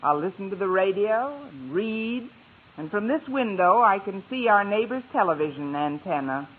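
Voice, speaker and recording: Dry, wisecracking schoolteacher, tinny old radio. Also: female voice, medium pitch, animated, normal speed, husky. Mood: wry. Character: wry, lonely single woman English teacher. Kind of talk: 1950s radio sitcom acting.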